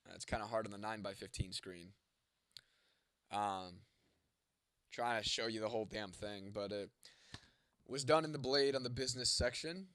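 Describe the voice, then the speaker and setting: A man's voice: lowish voice, moderate pace, long pauses, fairly bright tone, no room sound. A man on a live stream, chatting casually with viewers and reading their comments aloud. He is congested and losing his voice, and he talks in a laid-back way with lots of swearing and filler words. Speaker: live-streaming content creator.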